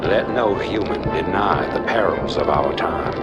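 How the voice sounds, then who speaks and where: An actor playing a solemn, grandiose middle-aged ruler giving a stately, rhetorical address in a video game. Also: male voice, sits low, animated, measured pace, over loud music. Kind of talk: formal oration in a video game. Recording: loud score behind the speech.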